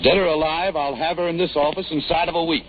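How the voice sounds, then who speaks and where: An actor in 1940s radio drama playing an agitated, stubborn store manager, urgent and insistent. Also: male voice, on the high side, fairly even intonation, quick pace, loud music behind the voice, close to an everyday voice. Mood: desperate, determined. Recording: tinny, noisy 1940s radio recording.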